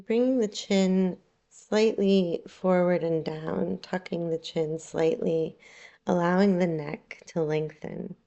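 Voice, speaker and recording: Low, creaky-voiced woman guiding relaxation. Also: lo-fi sound. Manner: calm, soothing, unhurried instruction with long pauses. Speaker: yoga nidra guide. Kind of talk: guided relaxation meditation.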